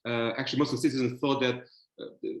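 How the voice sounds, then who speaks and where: A man with a Romanian accent speaks at a mid pitch, his voice ringing in an echoey room over a video call. He talks like a panelist working through his points, thoughtful and hesitant, full of fillers and restarts. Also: level tone, fast talker. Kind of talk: virtual panel discussion.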